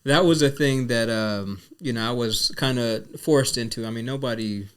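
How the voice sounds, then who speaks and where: A man's voice: mid-range voice, moderate pace, clean tone. A man in his forties from Virginia, co-hosting a podcast in laid-back casual chat with many fillers. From Virginia, Tidewater area. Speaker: podcast co-host.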